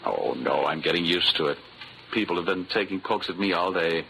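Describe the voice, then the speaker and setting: An actor playing a wisecracking, flirtatious hard-boiled investigator, narrating and bantering sardonically in a 1940s radio drama. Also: male voice, deep voice, a little fast, creaky, pushed and bright, a little hiss or hum, exaggerated voice, low-quality recording. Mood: coaxing. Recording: old 1940s radio recording.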